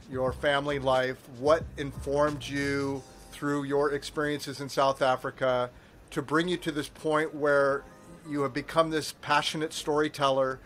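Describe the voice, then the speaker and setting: An older man hosting a podcast, introducing his guest and asking questions in a measured way over a video call headset. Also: a little high, a bit bright. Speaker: podcast host.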